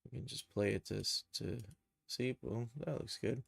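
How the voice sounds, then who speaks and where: A man hosting a casual, unscripted software tutorial, thinking aloud as he explains each step. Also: medium pitch, moderate pace, creaky, breathy edge, no room sound. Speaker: live stream tutorial host, game and cartoon developer.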